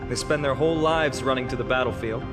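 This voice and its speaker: A man's voice: mid-range voice, fast talker, close to an everyday voice. An actor playing a cheerful, playful young swordsman in a fantasy game, teasing and theatrical in delivery. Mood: wry, teasing. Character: cheerful, playful young heroic male swordsman eager for challenge. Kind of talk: scripted fantasy game voice acting. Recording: loud game music underneath.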